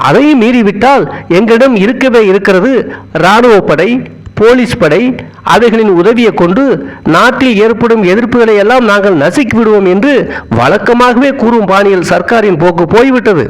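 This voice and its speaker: A man with a high, light voice delivers a formal political oration. He speaks in short, deliberate phrases and keeps a stately, measured manner throughout. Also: poor audio. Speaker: speech reader.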